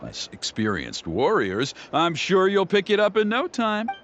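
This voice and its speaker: Dramatic middle-aged dad from a tribe, gossipy and theatrical, really animated up-and-down voice. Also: male voice, high-pitched, a little slow, heavily acted. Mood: eager. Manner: dramatic storytelling, gossipy grumbling.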